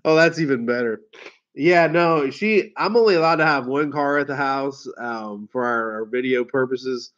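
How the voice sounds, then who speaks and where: A man hosting a casual livestream. He tells rambling stories, laughs and swears easily, and sounds hoarse from a head cold. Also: medium pitch, moderate pace, a bit bright. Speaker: car sales livestream host. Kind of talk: casual livestream chat with viewers. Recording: dull lo-fi stream audio.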